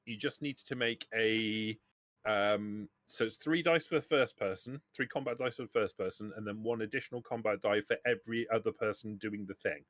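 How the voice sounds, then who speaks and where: A man's voice: lowish voice, moderate pace, fairly bright tone, no echo. A man acting as game master, explaining rules casually and chatting with players at a tabletop game.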